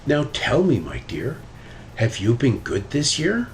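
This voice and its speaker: A man voicing a jolly, kindly big Santa bear in a deep voice for a storybook. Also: huge pitch swings, unhurried, slight background noise, rough recording.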